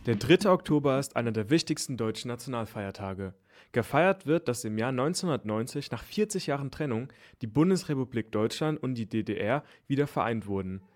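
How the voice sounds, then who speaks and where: A man's voice: medium pitch, animated, a little fast, faint music behind. A man reading scripted radio news for children as a newsreader.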